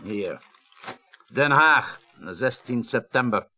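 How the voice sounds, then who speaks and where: Articulate official with swooping intonation. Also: male voice, medium pitch, expressive, slow, pushed and bright, slight background noise, heavily acted. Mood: reading aloud. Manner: articulate, formal. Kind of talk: Dutch radio drama acting.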